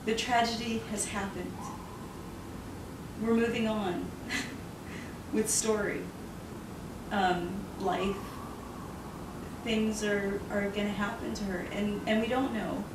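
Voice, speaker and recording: A woman's voice: medium pitch, steady tone, takes it slowly, long pauses. Woman author, earnest and reflective, laughs easily; distant mic, roomy, noisy room. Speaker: author interviewed about her novel. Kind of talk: casual interview conversation.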